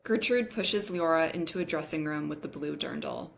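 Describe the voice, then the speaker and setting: A woman with a lowish voice narrates a script at a table read. She reads out the stage directions between the character parts. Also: animated, moderate pace, gravelly.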